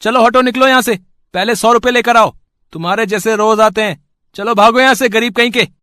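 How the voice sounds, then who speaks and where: A man's voice: extremely high pitch, a little fast, pushed and bright, clear, resonant tone, dry recording, clean studio sound. A man voicing a greedy, curt and dismissive shopkeeper in an amateur moral story. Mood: scornful, demanding. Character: harsh, greedy male pharmacy shopkeeper, high-pitched and scornful.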